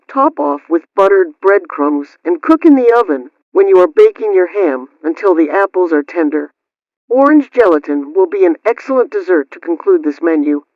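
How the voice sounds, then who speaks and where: A man's voice: high-pitched, no echo. A man presenting a radio program, reading scripted household advice. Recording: dry, dull lo-fi radio sound.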